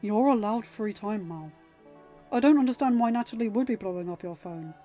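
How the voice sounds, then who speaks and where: Tender young boyfriend, played by a woman narrator: soft, warm dark tone, concerned, faint music behind. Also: mid-range voice, lively intonation, fairly quick, lightly acted. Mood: puzzled concern. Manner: soft, gentle. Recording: dull lo-fi audio.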